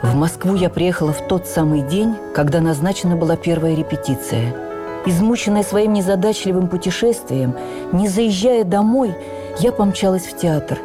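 Woman playing a low-voiced, expressive memoirist actress. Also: music in the background, close to an everyday voice. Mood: reflective, nostalgic. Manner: measured, expressive storytelling. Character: Russian stage actress recalling her youth in memoirs. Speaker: actress reading a memoir on radio. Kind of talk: dramatised memoir reading for radio. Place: radio studio.

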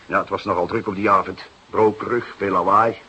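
An actor playing a genial, shrewd middle-aged Dutch police inspector in a radio drama, with drawn-out interjections and an avuncular way of talking. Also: male voice, on the low side, steady tone, pushed and bright, slightly rough, heavily acted. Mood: pensive. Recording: noisy, dated radio play recording.

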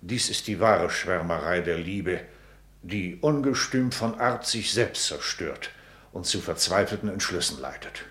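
A man's voice: sits low, a little slow, a bit bright, echoey room. An actor playing an elderly, meddling father and courtier in a classical radio play, theatrical and sententious. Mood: urgent, sententious.

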